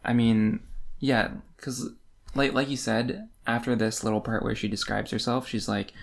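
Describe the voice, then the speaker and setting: A man's voice: medium pitch, flat delivery, moderate pace, gravelly. A man co-hosting a podcast, recapping and discussing a book casually with a wry, playful manner. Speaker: podcast co-host.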